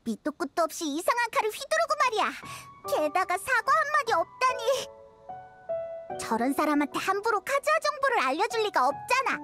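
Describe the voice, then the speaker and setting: A woman voicing a tiny, cheeky, childlike fairy companion in a video game, expressive and quick to exclaim. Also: very high-pitched. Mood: indignant. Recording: loud music over the voice.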